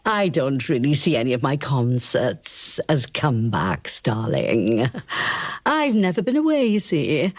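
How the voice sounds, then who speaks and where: Casting: proud, easily offended older Welsh showbiz queen, grand theatrical manner, highly animated intonation, radio play. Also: measured pace, exaggerated voice. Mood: proud, wistful. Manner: grand, camp, theatrical, self-important. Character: proud, touchy, theatrical ageing Welsh singing diva.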